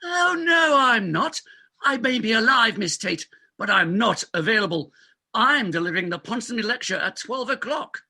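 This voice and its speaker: A man's voice: high-pitched, expressive, moderate pace, bright, forceful tone, heavily acted. A man playing an exasperated, self-important hospital doctor, indignant and emphatic, in a comic stage farce reading. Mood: panicked, refusing. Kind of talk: comic farce readers theatre.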